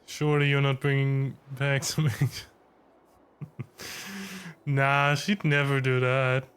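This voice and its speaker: A man's voice: mid-range voice, monotone, takes it slowly, a bit bright, clear, resonant tone. A man giving casual live reaction commentary on a game, hesitant and wry, as if muttering along. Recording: faint game music behind the voice.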